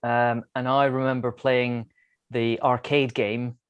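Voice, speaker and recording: Measured man on a podcast, dry sound. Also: medium pitch, level tone, clear, resonant tone, no room sound, highs cut off. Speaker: podcast panelist. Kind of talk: casual film discussion.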